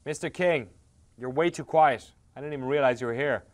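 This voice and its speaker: A man's voice: mid-range voice, brisk. An Irish man reading a game's dialogue aloud, with sarcastic, swearing asides between the lines. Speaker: host playing a game.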